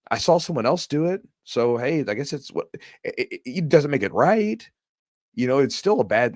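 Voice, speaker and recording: A man's voice: mid-range voice, dry recording, clean studio sound. A man hosting a podcast, telling a competition story in a casual, conversational way and often repeating his words. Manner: conversational, repeats words, lots of "you know". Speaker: podcast host and jiu-jitsu coach.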